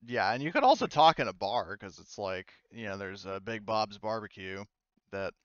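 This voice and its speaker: A man running a tabletop game, improvising narration in a casual, halting, meandering way. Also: lowish voice, expressive, fairly bright tone, no room sound, muffled recording. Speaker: game master.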